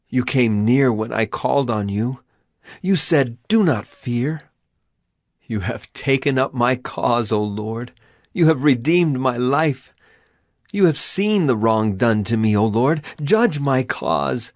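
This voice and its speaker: An actor playing a grieving, afflicted prophet in a dramatized scripture reading, with solemn, emphatic and deliberate phrasing. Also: male voice, mid-range voice, no echo, clean studio sound. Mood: pleading. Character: grieving, afflicted male prophet lamenting and praying.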